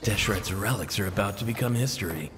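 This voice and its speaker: A man's voice: lowish voice, brisk, heavy background noise, close to an everyday voice. A man playing an injured, frustrated but spirited mercenary in a video game. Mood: rueful.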